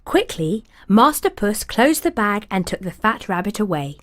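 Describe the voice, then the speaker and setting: A woman reading a fairy tale aloud in an expressive storybook cadence. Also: mid-range voice, normal speed.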